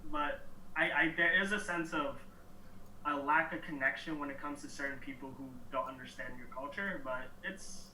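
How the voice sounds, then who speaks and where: A young man from New York answering interview questions in a relaxed, halting way, with soft laughs between thoughts. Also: a little high, a little hiss or hum. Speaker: interview guest. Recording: echoey room, mic at a distance.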